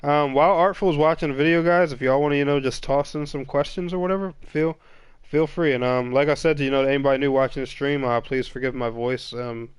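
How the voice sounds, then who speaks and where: A man's voice: mid-range voice, fairly even intonation, a little fast, clean tone, no echo. Congested male stream host, chatty and apologetic; clean dry sound. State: congested with a cold. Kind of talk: casual gaming podcast chat.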